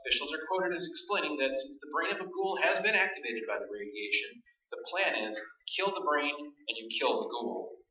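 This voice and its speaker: A man playing a grave, official newscaster, reading measured crisis bulletins in a radio play. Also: fairly high pitch, expressive, close to an everyday voice. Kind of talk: formal news bulletin acting in a radio play. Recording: echoey stage, distant and dull.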